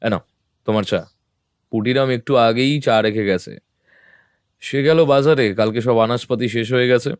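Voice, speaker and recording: A man voicing a calm, composed detective in an audio drama, speaking in a measured, matter-of-fact way. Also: mid-range voice, smooth, no room sound, lightly acted. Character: calm, composed male detective, middle-aged. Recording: dry close mic.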